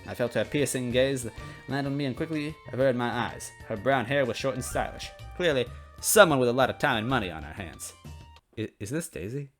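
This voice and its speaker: A man narrates a noir-style detective story with animated, varied pitch in a semi-dramatic way. He reads as a casual host who sometimes trips over a line, with music behind him. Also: fairly quick. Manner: self-interrupting, stumbling over lines.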